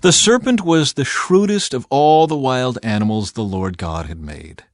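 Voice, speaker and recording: Expressive male Bible narrator, very lively pitch. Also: no echo, clean studio sound. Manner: expressive, deliberate storytelling. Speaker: audiobook narrator. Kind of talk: dramatic scripted Bible narration.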